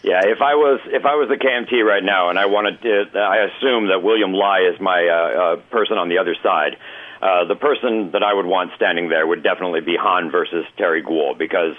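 A man chatting casually about politics as a commentator, relaxed and conversational, heard over a phone line. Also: low voice. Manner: relaxed, conversational, quick to agree.

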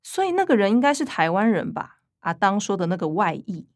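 A woman's voice: medium pitch, sing-song, a little fast, dry recording, lightly acted. A woman acting an earnest, fussy Taiwanese younger sister, close and dry. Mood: earnest explaining. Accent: Taiwanese Mandarin. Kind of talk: radio drama acting.

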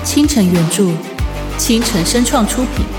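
A woman announces titles for an audio drama in a measured, slow delivery. Her fairly high voice rings with strong echo. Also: lively intonation, music in the background.

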